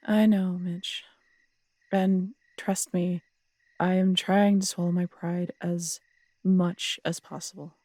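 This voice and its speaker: A woman's voice: lowish voice, little pitch movement, very slow, pauses often, mellow, no echo, clean studio sound. A woman playing a feisty, teasing, proud police detective in an intimate roleplay audio drama. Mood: exasperated, stubborn. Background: crickets at night.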